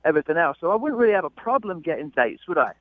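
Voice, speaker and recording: A man's voice: a little high, very animated, rapid-fire, phone-quality sound. A man from London with a London English accent, a caller on a radio show, speaking in exasperated, slangy British phrases and repeating himself. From London, England. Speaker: caller on a radio dating segment. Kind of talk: heated conversational phone-in.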